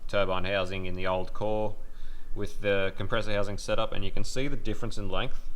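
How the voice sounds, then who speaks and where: Aussie male DIY car vlogger, lowish voice, blunt and laid-back, with clear noise behind him. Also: fairly bright tone. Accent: Australian. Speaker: host of a DIY car build vlog. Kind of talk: casual hands-on vlog commentary. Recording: clear background noise on amateur video.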